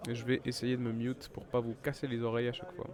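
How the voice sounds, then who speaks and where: A man's voice: mid-range voice, monotone, fast talker, crowd noise. A man with a head cold giving live esports commentary, chatty and full of fillers and restarts. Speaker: esports caster.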